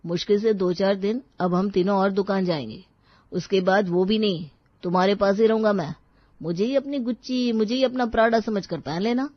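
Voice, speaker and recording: A woman's voice: mid-range voice, normal speed, dry recording, highs cut off, lightly acted. A woman voicing a resigned, earnest young husband in a dramatised story, speaking plainly and explaining.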